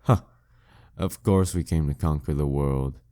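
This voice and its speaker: A man's voice: a bass voice, dark tone. A man playing a proud, dominant demon king in an audio roleplay, teasing and condescending in an intimate scripted monologue. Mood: coaxing, condescending. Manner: slow, teasing, condescending, smugly theatrical. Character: dead male demon king, taunting, dominant, proud former nemesis.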